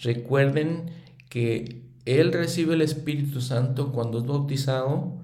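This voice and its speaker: A middle-aged man leads a thoughtful scripture lesson, earnest and unhurried, often circling back to restate a thought. His voice is warm and mellow. The recording sounds roomy, with noticeable echo around him. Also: mid-range voice, steady tone. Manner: earnest, thinking aloud, with fillers and restarts. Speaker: scripture study teacher. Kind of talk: reflective religious lesson.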